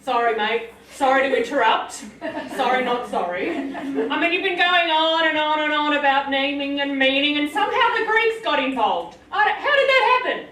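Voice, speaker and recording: A cheeky little forest man with an Australian accent and a very high, piping voice, put on by a woman. He rambles in wry, self-pitying asides. The voice rings in an echoey room, heard from some way off the mic. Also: animated, moderate pace, distant mic, a crowd in the background. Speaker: storyteller. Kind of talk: live comic storytelling in character.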